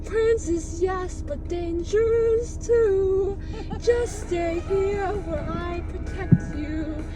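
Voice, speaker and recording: A woman's voice: very high-pitched, drawn-out words, music in the background, voice pushed up, exaggerated voice, low-quality recording. A woman voicing a possessive, gloating fairy-tale witch in a theatrical, menacing manner. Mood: overprotective warning.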